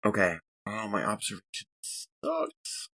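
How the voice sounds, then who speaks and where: A man playing a tabletop roleplaying game, describing actions and chatting casually in a jokey, animated manner. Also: fairly low pitch, slow.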